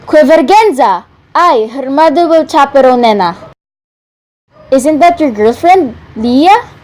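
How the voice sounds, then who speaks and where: Insistent, fretful mother, played by a woman, high-pitched, amateur radio drama. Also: animated, slow, a little mellow. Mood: affronted. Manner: insistent questioning. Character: fretful, demanding mother of a young daughter. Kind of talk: amateur radio play acting.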